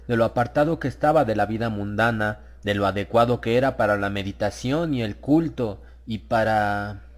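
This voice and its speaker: A man narrating a horror audiobook from a script, in a measured, literary storytelling manner. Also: mid-range voice.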